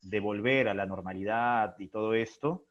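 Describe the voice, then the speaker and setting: A man's voice: on the low side, moderate pace. A man speaking reflectively and expressively as an interview guest, thinking aloud as he explains. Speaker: interview guest, theatre playwright and director. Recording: muffled lo-fi online call.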